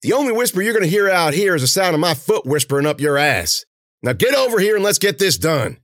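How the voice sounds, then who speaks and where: A man voicing a crude, impatient henchman who blusters and bullies in rough grammar in an audiobook story. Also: fairly high pitch, animated, moderate pace, a bit bright, no room sound, clean studio sound, pitched above the performer's own voice. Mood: irritated. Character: crude, impatient henchman, bullying his partner.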